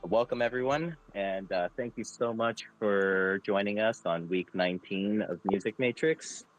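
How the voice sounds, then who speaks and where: A man's voice: fairly low pitch, unhurried, poor audio. A man hosting an online music show, talking casually with many fillers and restarts. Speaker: host.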